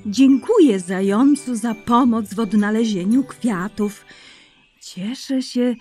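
Gentle mother hare. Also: a little high, takes it slowly, husky, soft background music. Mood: grateful.

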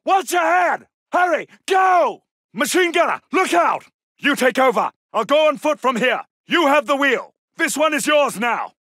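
Shouting British soldier, very high-pitched. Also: male voice, no echo, clean studio sound, full character voice. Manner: urgent, forceful, clipped military delivery. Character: gruff British Second World War soldier shouting in battle. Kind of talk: shouted video game battle barks.

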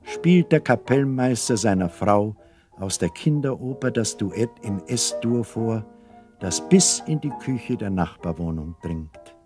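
A man narrating a children's radio play as a storyteller, measured, wry and expressive. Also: lowish voice, over music.

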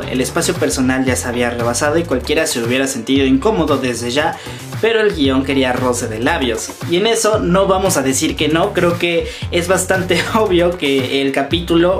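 A man's voice: on the high side, normal speed. A man in his twenties with a Mexican accent, chatting casually as a YouTuber commenting for fans and reading comments aloud. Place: small room indoors. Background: background music.

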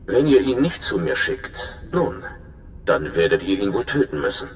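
Ein Schauspieler als ernster, berechnender Informant und Spion in einem Videospiel, der eindringlich erklärt. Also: male voice, on the low side, very animated, moderate pace. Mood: scheming. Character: earnest, scheming male spy informant. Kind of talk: dramatic video game dialogue in German. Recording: echoey, noisy hologram transmission.

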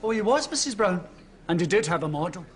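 A chatty, earnest hairdresser in a sitcom. His voice sits on the high side, over heavy studio noise. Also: animated, quick pace. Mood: matter-of-fact. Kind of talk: sitcom acting. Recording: very noisy studio recording.

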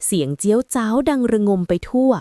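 A woman reading a scripted story aloud as an expressive storyteller. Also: on the high side, fairly quick, no room sound, studio quality.

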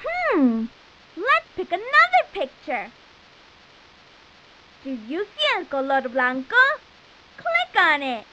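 A woman playing a cheerful, encouraging little girl cartoon explorer, enunciating clearly as she gives enthusiastic instructions in a children's educational game. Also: sits high, huge pitch swings, slow, pauses often, pushed and bright, slight background noise, full character voice, low-quality recording. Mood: encouraging, instructive.

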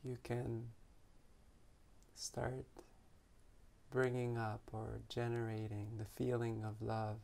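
A man leading a guided meditation as its teacher, speaking gently and unhurriedly with long pauses between phrases. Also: medium pitch, monotone, gravelly.

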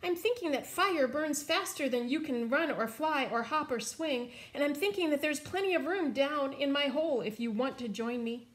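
Storybook wombat, gentle and thoughtful, a bit higher than her own voice, read by a woman. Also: lively intonation. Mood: calm. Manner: slow, gentle, unhurried. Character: calm, thoughtful young male wombat.

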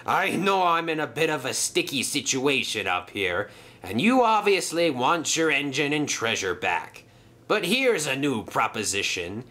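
A sly sailor villain from a children's adventure, played by a man. He is smug and mocking, taking his time with each taunt and swinging his pitch for menace. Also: sharp tone, full character voice.

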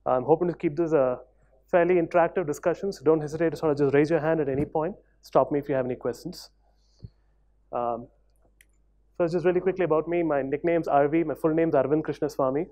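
A man in his thirties speaks at a conference podium in a large hall. His voice is mellow and warm, and he talks in a loose, conversational way, often hedging with small fillers. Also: a little high, fast talker. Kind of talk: conference talk.

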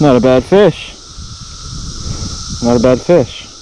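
A man casually narrating his own fishing trip while wading a river, chatty and easygoing, with sudden bursts of excitement. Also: mid-range voice, slow pace, very noisy. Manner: laid-back, chatty, bursts of excitement at catches. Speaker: fishing vlogger. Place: outdoors wading a river tributary. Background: faint crickets and insects outdoors.

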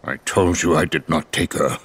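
An actor playing a remorseful, stiffly formal scientist in a video game cutscene, pleading and defending himself. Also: male voice, a bass voice, fairly even intonation, moderate pace, fairly bright tone, husky, heavily acted.

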